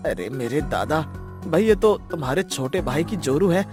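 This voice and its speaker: A man's voice: a little high, sing-song, moderate pace, over music. A man voicing stern, indignant village men in a cartoon family story. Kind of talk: acted cartoon story dialogue.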